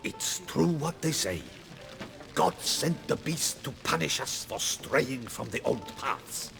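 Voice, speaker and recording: An actor voicing a pious, scornful commoner in a fantasy video game, preachy and accusing, with a creaky voice. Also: male voice, medium pitch, expressive, takes it slowly, music in the background. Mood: righteous scorn. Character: pious, scornful male commoner railing at corrupt knights. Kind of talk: video game cutscene acting.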